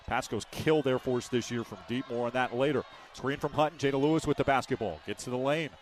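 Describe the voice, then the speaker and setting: Male sports radio play-by-play commentator, medium pitch, live basketball call, short descriptive phrasing. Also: quick pace.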